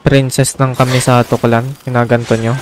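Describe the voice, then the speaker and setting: A man's voice: medium pitch, flat delivery, a little fast, over music. A man with a Filipino accent giving casual gameplay commentary in muttered, offhand asides. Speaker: gamer, streamer.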